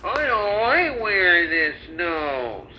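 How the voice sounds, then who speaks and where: A man's voice: sits high, sing-song, takes it slowly, dull audio, voice pushed up, exaggerated voice. A man voicing a tender, easily hurt young male reindeer in a storybook, with a plaintive, childlike delivery. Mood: unhappy.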